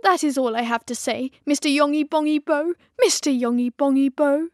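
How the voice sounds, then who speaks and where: A woman's voice: sits high, moderate pace, a little mellow, no room sound, studio quality, close to an everyday voice. A woman playing a sad, regretful married lady, declaiming plaintively in storybook verse. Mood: gentle refusal.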